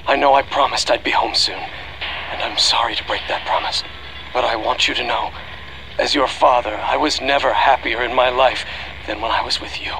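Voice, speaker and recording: A middle-aged actor playing a remorseful scientist father in an anime game, pleading gravely and deliberately. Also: medium pitch, fairly even intonation, noisy background, close to an everyday voice. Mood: tender, resigned. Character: desperate, remorseful scientist father recording a last message.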